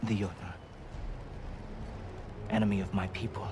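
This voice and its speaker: A man playing a haughty, menacing god who guards a wall in a video game, speaking with sardonic, condescending deliberateness. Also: fairly low pitch, fairly even intonation, noisy background, close to an everyday voice. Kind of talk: theatrical video game acting.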